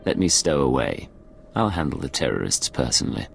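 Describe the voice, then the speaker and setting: An actor playing a cool, commanding imperial secret agent in a video game, terse and controlled. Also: male voice, very deep voice, big pitch swings, fairly quick, creaky, a bit bright, faint music behind, exaggerated voice. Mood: cool, resolute.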